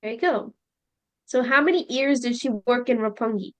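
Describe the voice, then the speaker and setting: High pitched lady teacher going over a listening exercise, patient, lots of "see?" and "okay?". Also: a bit bright. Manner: explains slowly, checks in with "okay?" and "see?". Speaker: English teacher. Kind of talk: classroom listening lesson.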